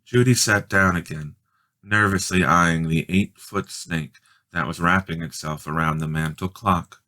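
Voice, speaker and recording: A man reading a children's storybook aloud as a dramatic, expressive narrator. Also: low voice, a little slow. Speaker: storybook reader.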